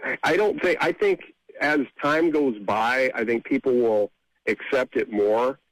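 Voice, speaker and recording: A man's voice: mid-range voice, a little slow, no echo, rough recording. A man answering casually as a songwriter guest in an interview, often repeating and restarting his phrases.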